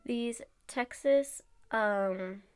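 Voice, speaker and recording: A woman's voice: fairly high pitch, very slow, clear, resonant tone, no echo, clean studio sound. A woman with a congested, nasal voice from allergies, chatting casually through a nail tutorial with many fillers.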